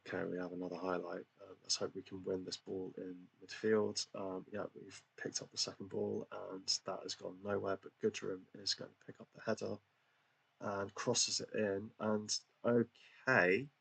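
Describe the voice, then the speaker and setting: A low-voiced man talks through his football management game in a relaxed, rambling way, full of ums and little jokes at his own expense. His voice is deep and gravelly, a touch husky, and stays fairly flat in pitch. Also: moderate pace, low-quality recording. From England. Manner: chatty, hesitant, many ums, self-deprecating. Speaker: gaming channel host. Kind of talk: casual game commentary.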